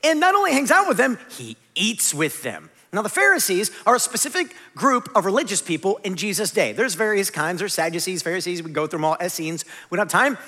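A man in his forties preaching a conversational sermon, animated and self-deprecating. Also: high voice, brisk, few pauses. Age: 40s. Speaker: pastor. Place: large church hall. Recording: long hall reverb.

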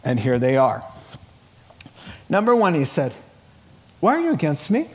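A middle-aged man preaching a sermon in a conversational, informal way, animatedly telling stories and acting out dialogue. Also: a little high. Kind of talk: sermon, reading scripture and retelling it in colloquial, dramatized asides.

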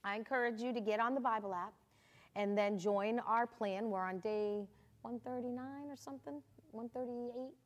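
A woman's voice: a little high, moderate pace. Encouraging woman hosting a church chat. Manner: warm, laughs often, encouraging. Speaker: church host. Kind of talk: casual church testimony chat.

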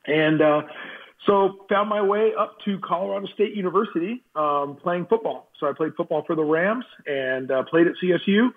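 Husky-voiced man on a tinny call line. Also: high voice, moderate pace. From Southern California. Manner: relaxed, friendly, easygoing storyteller. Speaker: team chaplain, podcast guest. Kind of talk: casual interview storytelling. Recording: remote call with tinny phone-like sound.

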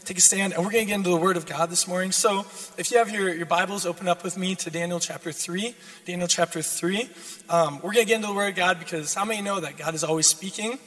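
A hoarse young man preaching a sermon as a guest speaker, repeating his key lines and building in intensity. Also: high voice, fairly even intonation, fast talker, a bit bright. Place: church sanctuary. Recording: long church reverb.

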